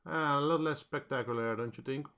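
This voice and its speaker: A man hosts a casual software tutorial, talking in a hesitant, halting way as he thinks aloud. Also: mid-range voice, smooth. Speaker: tutorial host.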